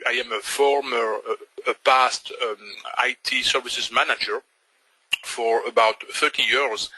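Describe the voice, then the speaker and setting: An older man with a Belgian French accent speaks in a forceful, tense tone with a husky edge. He presents a webinar slowly and haltingly, still searching for words in English. The sound is dry and close, picked up by a computer microphone. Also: mid-range voice, no echo, low-quality recording. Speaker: trading instructor.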